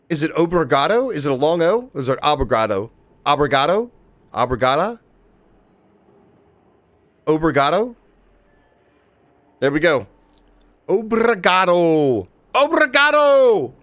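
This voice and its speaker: A man live-streaming a game, chatting casually with viewers and swearing freely as he plays. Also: high voice. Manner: excitable, swears casually, talks to chat.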